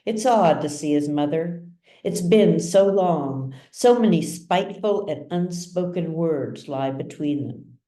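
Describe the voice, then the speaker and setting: Slow, mellow woman narrator, echoey room. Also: sits low. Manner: measured, deliberate phrasing. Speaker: author reading her own novel. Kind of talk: dramatic literary narration. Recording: roomy echo, distant mic.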